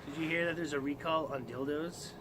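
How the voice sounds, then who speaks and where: A man's voice: on the high side, steady tone, a little fast, noisy background. A man co-hosting a comedy show with profane, irreverent banter and mock news items. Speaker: co-host of a comedy video.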